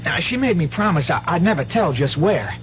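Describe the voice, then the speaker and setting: A high, animated country teenage boy in a vintage radio play. He is earnest and protective, with hesitant rustic speech, on a dull recording with faint hiss and hum. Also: brisk, soft background music. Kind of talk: 1970s radio drama acting. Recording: muffled old radio broadcast, faint hum.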